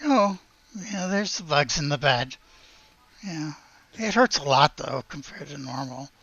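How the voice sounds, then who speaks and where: A woman running a tabletop game as game master, casually describing things in a halting, self-correcting way. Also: sits low, lively intonation, a bit bright, breathy edge, no echo, lo-fi sound.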